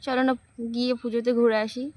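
A woman's voice: fairly high pitch, little pitch movement, fast, very noisy. A woman making a prank phone call, sweet-talking and sulking as a pretend lover. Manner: teasing, sulky sweet-talk. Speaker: prank caller. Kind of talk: prank phone call, playing a flirty stranger.

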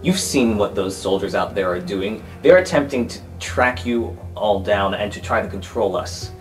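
Supervillain headmaster for tabletop game man acting: low voice, calm, condescending, grandiose, light music behind. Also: normal speed, natural voice. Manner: smooth-talking, grandiose, condescending. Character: calm, megalomaniacal male supervillain academy headmaster.

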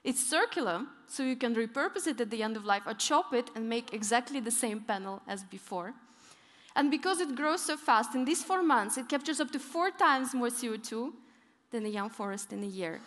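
A young woman speaks from a big conference stage through a headset mic, her voice a little high and ringing through a long hall reverb. She tells her stories with lively emphasis and presses firmly on her key points. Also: moderate pace. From northern Europe, Arctic region. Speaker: keynote speaker. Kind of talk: conference keynote talk.

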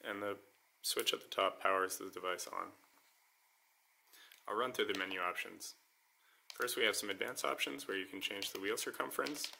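A man calmly walking through how his homemade device works, step by step, in a voice-over demo. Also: fairly low pitch, gravelly, slight background noise. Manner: calm, methodical step-by-step explaining. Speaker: maker demonstrating his device.

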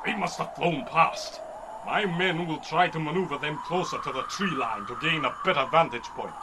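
A man's voice: on the high side, normal speed, noisy background, close to an everyday voice. A man playing a boisterous, proud winged falcon-man leader in a grandiose, declamatory old-time radio serial style. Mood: grand, proud.

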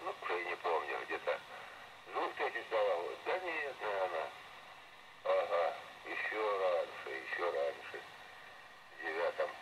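Older guy on ham radio, deep voice, rambles and repeats himself, loud static all over it, reverby radio sound. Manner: rambling, repeats words and phrases. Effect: heard over shortwave radio with added reverb and compression. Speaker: amateur radio operator. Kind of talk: casual ham radio chat. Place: radio transmission playing from a transceiver in a room. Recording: noisy shortwave radio reception picked up from speaker.

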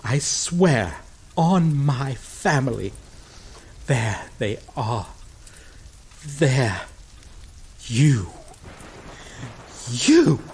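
A woman playing a grieving, possessive vampire in a dramatic ASMR roleplay, speaking haltingly and emotionally with long pauses, vengeful and pleading. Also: low voice, darkish tone, slightly rough, exaggerated voice. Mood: vengeful, hunting, then accusing grief. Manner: halting, slow, emotional delivery with drawn-out pauses.

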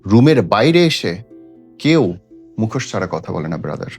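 A man's voice: on the low side, sing-song, moderate pace, husky. Emphatic preachy man over background music. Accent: Bangladeshi. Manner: emphatic, preachy, with rhetorical questions. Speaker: radio host giving relationship advice. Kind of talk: opinionated advice talk. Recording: music playing behind the voice.

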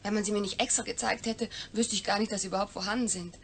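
Eine Schauspielerin spielt in einem deutschen Hörspiel eine höfliche, gefasste Krankenschwester mit förmlicher Art. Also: female voice, medium pitch, fairly even intonation, fast, slight background noise, close to an everyday voice. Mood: composed. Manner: formal, composed. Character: polite, composed female hospital nurse. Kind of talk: German radio play acting.

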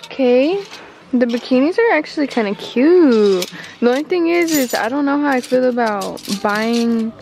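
A woman's voice: high-pitched, animated, normal speed, a little mellow, slight background noise. A young woman vlogging casually from a car, a thrift store and a gym, chatty, crude and playful. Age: young adult. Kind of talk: casual vlog chat. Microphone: handheld phone camera.

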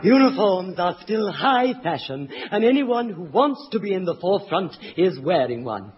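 Guy putting on a gushy posh fashion lady, high voice, lively, muffled old broadcast. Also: moderate pace, slight background noise. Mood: gushing. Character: gushing female fashion columnist, affected. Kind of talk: 1960s radio comedy sketch. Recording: dull 1960s radio broadcast.

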